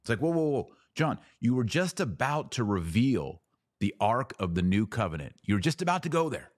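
A man hosting a podcast, giving an emphatic theological teaching talk with rhetorical questions and dramatic pauses. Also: mid-range voice, very animated. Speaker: podcast host.

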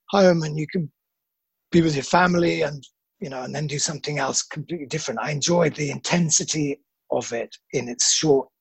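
A middle-aged film actor with a husky voice, answering interview questions in a self-deprecating, halting way. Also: male voice, on the high side, no room sound.

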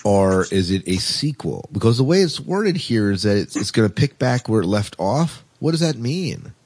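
A man's voice: lowish voice, sing-song, normal speed, darkish tone, a little hiss or hum. A man co-hosting a casual podcast, chatting through science fiction news. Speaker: podcast co-host.

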